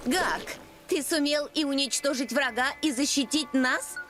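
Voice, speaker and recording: A woman voicing a friendly, spirited female alien teammate in a cartoon dub, brisk and matter-of-fact. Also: high-pitched. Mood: encouraging. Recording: loud music behind.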